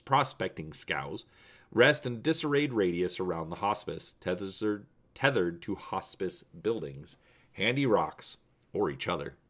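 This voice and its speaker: A man presenting as a channel host and narrating an adventure story with expressive, theatrical emphasis. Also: sits low. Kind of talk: dramatic storybook reading and presenting.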